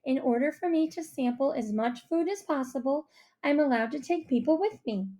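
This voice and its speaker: A woman's voice: high-pitched, animated, normal speed, dark tone, voice pushed up. A woman narrator voicing a proud, cautioning mother who explains things carefully in an audiobook. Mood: proud, explaining. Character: proud, cautioning mom, a food critic. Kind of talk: audiobook character voice.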